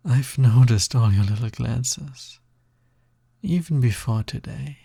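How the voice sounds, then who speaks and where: A man playing a sly, smug, possessive naga roommate in an intimate audio roleplay, with a breathy, gravelly voice and a teasing drawl that often drops toward a whisper. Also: mid-range voice, dark tone, no echo, heavily acted. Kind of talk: intimate scripted audio roleplay, close ASMR-like delivery.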